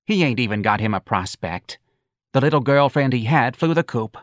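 A man's voice: medium pitch, lively intonation, moderate pace, no room sound, clean studio sound, voice pushed up, natural voice. A man voicing a weary, complaining working-class mother in an audiobook novel. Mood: weary, plaintive.

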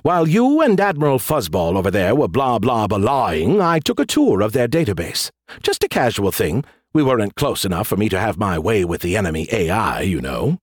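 A man's voice: on the low side, very animated, fairly quick, husky, no room sound, studio quality. A man voicing a boastful, petulant artificial intelligence in an audiobook, sarcastic and easily offended. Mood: smug. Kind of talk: audiobook character dialogue.

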